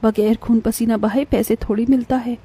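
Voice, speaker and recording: Woman as a fretful, soft-toned village mother. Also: a little high, level tone, normal speed, close to an everyday voice. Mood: tearful, worried. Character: anxious, hard-working poor village mother.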